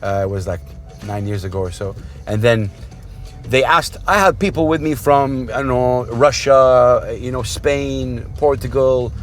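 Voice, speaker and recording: A man from Saudi Arabia hosting a casual interview in a car, rambling and warm as he talks about his past. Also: medium pitch, a little slow. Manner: rambling, warm, restarts often. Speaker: podcast host. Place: inside a car. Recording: car interior with music behind.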